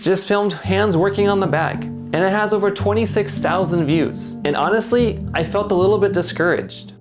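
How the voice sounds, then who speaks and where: A man's voice: sits high, moderate pace. A man who makes videos for a company's English channel, narrating his vlog in a reflective, earnest voice. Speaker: company YouTube creator. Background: guitar music.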